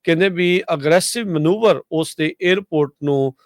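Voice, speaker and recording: Smooth higher-voiced Punjabi man reading news. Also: normal speed, no echo, clean studio sound. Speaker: radio news presenter. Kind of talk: conversational radio news report.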